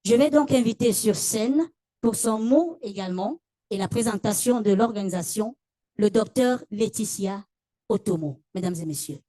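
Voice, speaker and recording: A woman's voice: mid-range voice, normal speed, warm tone, no echo. Stately woman emcee. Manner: ceremonious, stately, often addressing the audience. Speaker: master of ceremonies. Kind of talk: formal ceremony announcing.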